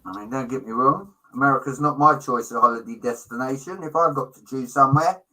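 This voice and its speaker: A man's voice: mid-range voice, fairly quick, pushed and bright, low-quality recording. A middle-aged British man in casual livestream chat, talking in a chummy, opinionated way with slang and swearing. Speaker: livestream co-host.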